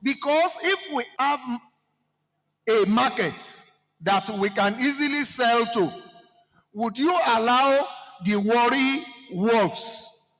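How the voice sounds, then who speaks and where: A Nigerian man with a Yoruba-speaking accent, addressing a seminar audience with animated, rhetorical questions. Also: very high voice, slow pace, long pauses, big-room sound, faint music behind, low-quality recording. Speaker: seminar participant speaking to the floor.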